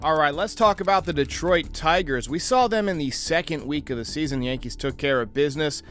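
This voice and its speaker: Higher-voiced man hosting sports commentary over music. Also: fairly quick, a bit bright. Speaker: host of a baseball fan channel. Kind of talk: sports commentary, series preview and prediction.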